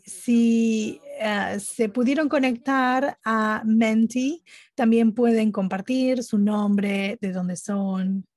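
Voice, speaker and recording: A woman giving live interpretation at a webinar, speaking haltingly phrase by phrase. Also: fairly high pitch, darkish tone, no echo. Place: remote online conference audio channel.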